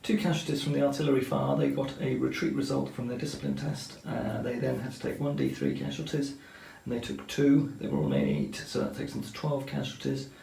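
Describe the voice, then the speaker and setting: A man's voice: mid-range voice, a little fast, gravelly, hardly any pauses, dark tone, roomy sound, a little hiss or hum, poor audio. A man methodically explaining each step of a tabletop wargame turn, talking through the rules as he plays. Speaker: wargame player explaining turns. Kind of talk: tabletop game commentary.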